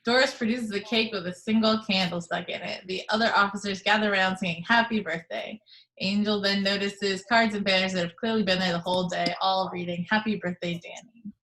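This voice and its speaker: A woman reading stage directions aloud in a table read, steady and matter-of-fact. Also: mid-range voice, fairly quick, a little hiss or hum, poor audio. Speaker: reader of the action description. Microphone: video call microphone at home.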